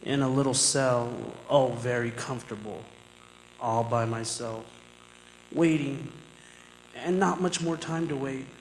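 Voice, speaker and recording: A man's voice: medium pitch, measured pace, long pauses, a little hiss or hum, lightly acted. Man playing a folksy condemned prisoner, echoey hall. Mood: wry, resigned. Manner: folksy, colloquial, addressing the listener. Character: condemned male prisoner, folksy narrator, anxious and pleading. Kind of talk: radio drama acting, conversational storytelling. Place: performing arts center stage. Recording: echoey stage hall with long reverb, music.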